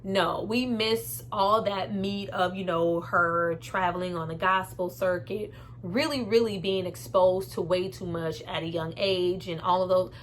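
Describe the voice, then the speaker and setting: A woman's voice: lowish voice, steady tone, unhurried, slight background noise. A woman giving a casual, opinionated movie review in a candid, conversational and emphatic way. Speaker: film reviewer and host.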